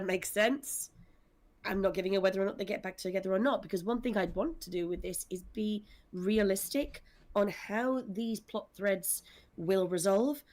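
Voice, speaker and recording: A woman speaking casually in a panel discussion as a writer and director, with a wry, self-deprecating manner. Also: medium pitch. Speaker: audio drama scriptwriter and director.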